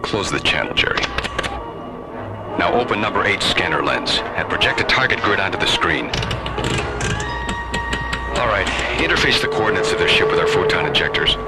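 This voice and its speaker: An actor playing an authoritative starship captain giving orders in a sci-fi radio drama. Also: male voice, low voice, sing-song, moderate pace, pauses often. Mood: tense, commanding. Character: commanding male starship captain under pressure. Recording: radio drama with loud music, effects.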